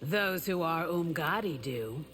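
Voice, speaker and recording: An actor playing a forthright fighter in a fighting game. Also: male voice, fairly high pitch, lively intonation, slow pace, heavy background noise. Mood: challenging. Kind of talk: video game fight-intro dialogue.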